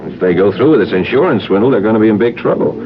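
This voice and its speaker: Guy acting wry american hero, low lively voice, relaxed type, old radio drama. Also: rapid-fire, natural voice. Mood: concerned. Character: easygoing, wry American man caught in intrigue. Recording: muffled 1970s radio recording.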